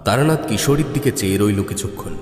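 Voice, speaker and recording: A man's voice: on the low side, expressive, fast talker, darkish tone. A man narrating a horror story in a dramatic, scripted style. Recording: echoey long reverb, music underneath.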